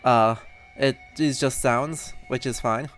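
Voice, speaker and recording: A man's voice: mid-range voice, steady tone, slow pace, fairly bright tone. A man giving casual live game commentary as a streamer, chatty and wry with scholarly asides. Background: faint game music.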